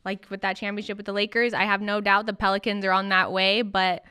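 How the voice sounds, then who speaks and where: A woman's voice: mid-range voice, level tone, a little fast, sharp tone, smooth, no room sound, clean studio sound. A woman hosting a podcast and interviewing a guest in a casual, conversational way.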